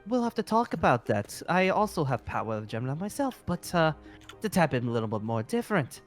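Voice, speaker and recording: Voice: mid-range voice, very animated, a little fast, natural voice. A person playing a courteous, scheming fantasy guild leader in an improvised roleplay, speaking hesitantly and repeating praise. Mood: pleased, impressed. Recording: music underneath.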